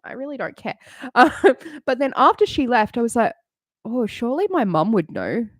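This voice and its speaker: A woman's voice: fairly high pitch, sing-song, normal speed, dry recording, studio quality. A woman in her late thirties chatting casually on a live stream, rambling and candid, swearing freely and laughing often. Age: late 30s.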